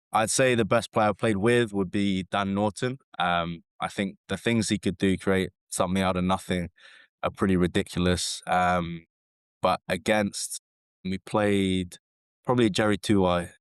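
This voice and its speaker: A man, a former rugby sevens player, talking casually as a podcast guest in a relaxed, rambling way with lots of fillers. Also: low-pitched, smooth, no room sound, studio quality. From England.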